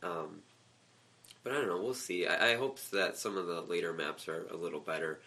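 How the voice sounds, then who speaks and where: A man co-hosting a casual gaming podcast, his voice a little worn from a cold, talking in a relaxed way with laughs between points. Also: deep voice, normal speed. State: slightly lost voice. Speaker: podcast co-host.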